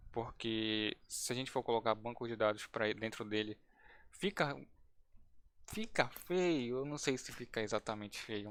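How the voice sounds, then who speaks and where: Brief: male instructor, relaxed and chatty, restarts phrases while demonstrating code, typing heard behind. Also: mid-range voice, clear, resonant tone, dry recording. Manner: casual, thinking aloud with restarts. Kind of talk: live-streamed coding lesson.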